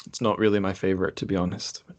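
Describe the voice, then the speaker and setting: A man's voice: deep voice, little pitch movement, fast. A man talking casually as a podcast host, hedging and thinking aloud as he goes. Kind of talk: casual book chat.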